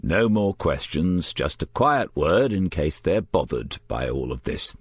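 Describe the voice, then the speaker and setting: Male detective sergeant read by a male narrator, deep, measured, understated, crime audiobook, very dry sound. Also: very animated, husky, no room sound, exaggerated voice. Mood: dry, tactful.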